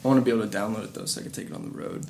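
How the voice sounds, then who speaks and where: A man's voice: lowish voice, fairly even intonation, very fast, a little mellow. A man co-hosting a casual gaming podcast, recorded with room echo and other voices behind him. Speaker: podcast co-host. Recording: roomy echo, chatter behind voice.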